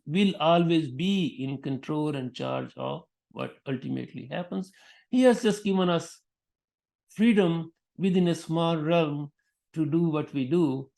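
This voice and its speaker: A man with a fairly high-pitched voice talks about teaching children through a religious framework. He speaks off the cuff, breaking off mid-phrase and starting again, and he sprinkles Arabic honorifics through his sentences. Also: darkish tone. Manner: halting, with frequent restarts and Arabic honorifics. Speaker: Islamic education speaker. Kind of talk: unscripted talk on teaching.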